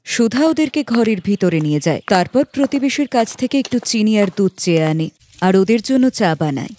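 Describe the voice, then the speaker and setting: A woman's voice: mid-range voice, expressive, normal speed, warm tone. A woman reading a scripted children's moral story as its narrator.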